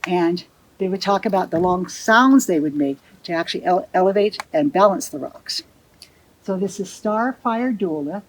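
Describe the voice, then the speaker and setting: A woman's voice: on the low side, lively intonation, normal speed, slight background noise, low-quality recording. A woman presenting and explaining a site as a guide, speaking outdoors on location.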